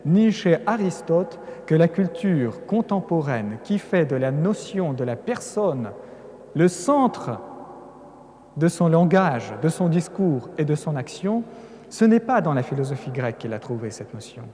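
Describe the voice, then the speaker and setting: A man who is not a native French speaker gives an earnest Lenten conference talk, stacking phrases into emphatic build-ups and restarting words now and then. His voice is fairly high and mellow. A long cathedral reverb trails behind every phrase. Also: very animated, unhurried, slight background noise. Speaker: lecturing cleric. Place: large cathedral.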